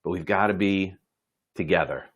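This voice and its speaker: A man telling a motivational story in an informal, folksy and earnest way, pausing for dramatic effect. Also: deep voice. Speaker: host telling a moral story.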